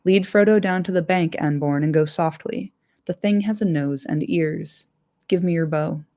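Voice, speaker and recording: Lady doing a calm commanding captain guy, quiet and firm. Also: deep voice, moderate pace.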